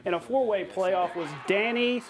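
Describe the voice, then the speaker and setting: A man anchoring a television sportscast in a playful, conversational way, reading highlights and bantering with a co-host. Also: normal speed. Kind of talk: upbeat scripted sportscast narration with banter.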